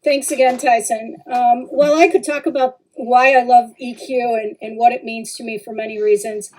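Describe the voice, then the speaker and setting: Mellow-voiced woman podcaster. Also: a little high, fairly even intonation, moderate pace, low-quality recording. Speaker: trauma healing facilitator. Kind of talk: podcast talk.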